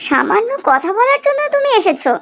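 A confident young bride acted by a woman in a cartoon drama. Her voice is extremely high, and she speaks with teasing, determined emphasis and theatrical questions. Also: sing-song, brisk, vocal fry, fairly bright tone, exaggerated voice. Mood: teasing, questioning. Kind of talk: animated cartoon drama dialogue.

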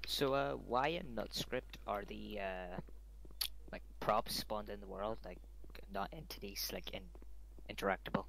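A low, gravelly male voice in a gaming voice chat, blunt and a bit grumbly. A faint hiss runs under his speech. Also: a little slow, fairly bright tone, low-quality recording. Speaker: player in voice chat.

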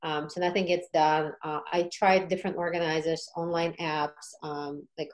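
A woman's voice: deep voice, little pitch movement, moderate pace. A woman guest on a podcast, telling her experience in a casual, informal way with frequent check-in questions. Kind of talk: casual conversational storytelling.

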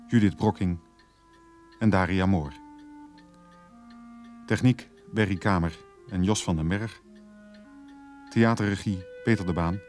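Deep-voiced male radio announcer from the Netherlands. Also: a little mellow. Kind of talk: scripted credits announcement.

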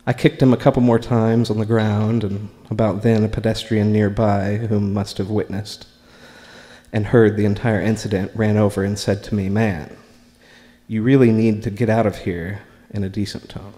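An American man reading his own writing aloud at a live open mic, in a deliberate, measured way with pauses between short phrases. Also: fairly low pitch, flat delivery, mellow. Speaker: spoken word performer reading own writing. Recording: live recording in a reverberant room.

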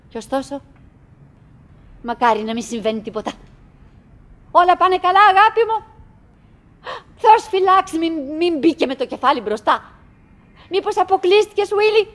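A high-pitched, wistful woman in a radio play monologue. She chatters anxiously to hold on to her routine, halting and circling back on her phrases, and moves theatrically from murmur to cry. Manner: halting, repetitive, with dramatic swings from murmur to cry. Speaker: stage and radio actress.

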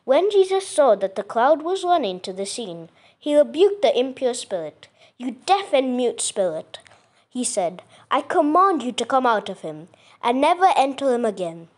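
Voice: mid-range voice, very animated, measured pace. A child reading scripture aloud from a script, carefully, with small stumbles and self-corrections. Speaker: reader.

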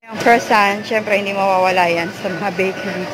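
A woman's voice: mid-range voice, fairly even intonation, a little fast, over music, rough recording. A woman filming a casual walkthrough of a bakery, naming each bread and pastry as she browses. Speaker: food vlogger. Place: inside a bakery shop.

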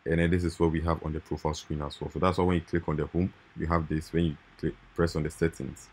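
A man's voice: rumbling low, little pitch movement, fast talker, a little mellow, breathy edge. A man hosting a screen-recorded coding tutorial, talking informally and repeating his points with "right?" and "okay".

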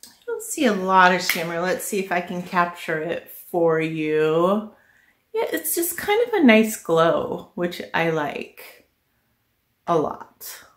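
Middle-aged woman, slow pace, lowish voice, casual unscripted product review, chatty, home recording. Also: sing-song. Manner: chatty, unscripted, thinking aloud. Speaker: vlogger presenting a product haul. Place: small living room at home, indoors. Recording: echoey home living room.

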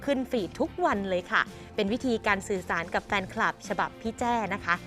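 A woman's voice: medium pitch, a little fast, bright, forceful tone, soft background music. A woman entertainment news presenter narrating a scripted feature in a chatty, polite manner. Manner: chatty, frequent polite particles.

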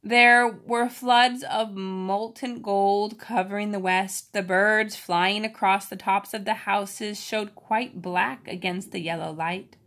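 Woman, medium pitch, storybook reader with expressive emphasis and an explanatory manner. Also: takes it slowly, hardly any pauses. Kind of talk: reading a children's story aloud with informal explanations.

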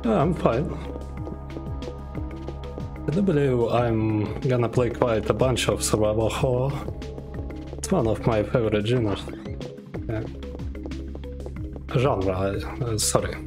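A young man with a Russian accent gives relaxed, unhurried commentary over a game he is playing. He talks at a slow, halting pace and keeps pausing to hum and think aloud. Clear game music runs underneath his voice. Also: medium pitch. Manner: thinking aloud with many pauses and hums. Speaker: streamer. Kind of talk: casual gameplay commentary.

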